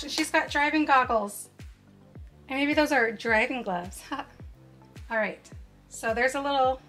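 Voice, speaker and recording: A young woman hosting a cheerful toy unboxing, gasping and exclaiming over each toy as she opens it. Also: high-pitched. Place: indoors at a tabletop set.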